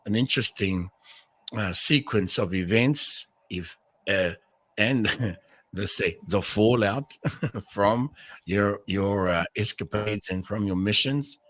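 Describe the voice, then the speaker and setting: A man hosting a casual interview, speaking in a halting, gushing way with affectionate words for his audience. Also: on the low side, fairly bright tone, no echo. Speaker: host.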